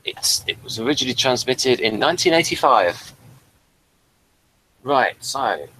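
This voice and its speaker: A man with a mid-pitched, rather sharp and bright voice chats casually as co-host of a fan review. His delivery is halting and hesitant, and he often repeats words as he goes. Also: lively intonation, slight background noise, rough recording. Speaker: podcast co-host reviewing a TV series.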